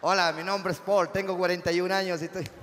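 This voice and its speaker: A man's voice: high voice, little pitch movement, quick pace, a bit bright, a little hiss or hum. A Chilean comedian in his forties performing a live stage sketch in an eager, clumsy comic way. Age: 40s. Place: large outdoor amphitheatre stage. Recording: live festival stage with crowd and hall reverb.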